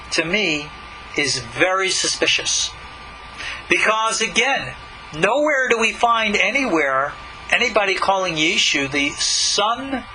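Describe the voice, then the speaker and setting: A man's voice: sits high, sharp tone, slight background noise, poor audio. A man speaking as a rabbi and teacher, giving emphatic, argumentative scripture commentary full of rhetorical questions.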